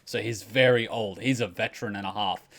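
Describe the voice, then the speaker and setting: Low-voiced man giving casual commentary. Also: fairly even intonation, a little fast, creaky, a bit bright. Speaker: reaction video host.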